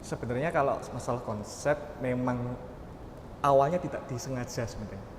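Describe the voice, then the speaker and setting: A man in his twenties from Surabaya, giving casual answers as an interview guest. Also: brisk. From Surabaya, Indonesia. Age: 20s. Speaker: music arranger, interview guest. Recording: echoey hall, faint rumbling noise.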